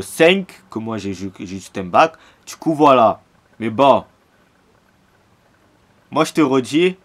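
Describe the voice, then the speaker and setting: A young man from Marseille with a Marseille French accent, ranting casually about football to his own camera in a slangy, repetitive way. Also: mid-range voice. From Marseille, France. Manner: animated, repetitive, slangy, fired up. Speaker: football fan YouTuber. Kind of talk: casual vlog rant to camera. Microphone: camera mic, self-filmed.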